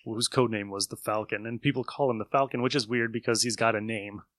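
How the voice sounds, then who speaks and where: A man's voice: fairly low pitch, fairly even intonation, quick pace, fairly bright tone, no echo, studio quality. A man co-hosting a podcast, talking casually about old radio shows. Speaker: podcast co-host.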